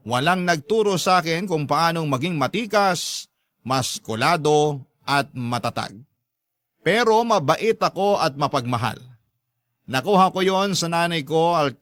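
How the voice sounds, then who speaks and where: A man's voice: on the high side, a bit bright, no echo, studio quality. A man narrating a dramatic first-person story in a confiding, earnest manner.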